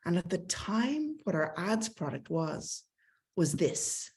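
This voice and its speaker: A woman's voice: on the low side, sing-song, slow pace, a little mellow. A middle-aged woman with an Irish accent gives a conversational, enthusiastic talk with slides, mixing in light self-deprecating asides. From Ireland. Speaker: tech company speaker.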